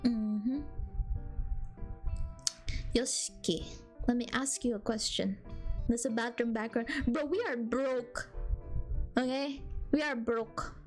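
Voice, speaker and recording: A young Filipino woman with a high, bright-pitched voice and a Filipino accent, talking casually to her live chat. She rambles, teases, and giggles easily, while soft music plays quietly behind her. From Philippines. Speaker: streamer.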